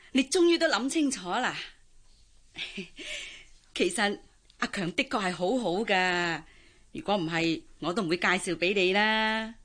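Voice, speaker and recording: An older Hong Kong housemaid in a radio play, a matchmaking auntie of medium pitch. She coaxes and advises in a chatty, cheerfully resigned way. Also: fairly bright tone. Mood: pleased, coaxing. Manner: coaxing, advice-giving, cheerfully resigned. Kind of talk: Cantonese radio drama acting.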